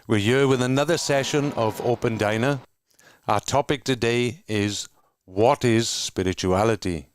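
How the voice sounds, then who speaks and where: A man from Wales with a Welsh accent, co-hosting an online discussion and reading prepared remarks in a measured, earnest way over a video call. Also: mid-range voice, slight background noise. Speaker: co-host. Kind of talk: scripted welcome and reading.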